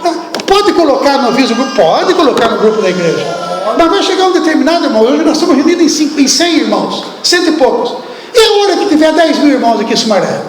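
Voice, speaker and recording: A man preaching a sermon with a Brazilian accent, lively and talking straight to the congregation, asking them questions. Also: very high voice, fast talker, noisy background. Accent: Brazilian Portuguese. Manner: animated, acts out biblical speech, asks the congregation questions. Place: church hall. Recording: echoey hall, distant room.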